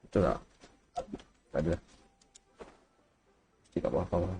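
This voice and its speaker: A man's voice: low-pitched, normal speed, creaky. A Malaysian man chatting casually as he live-streams a game, mixing in English words. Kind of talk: casual live-stream chat while gaming. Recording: faint steady background noise.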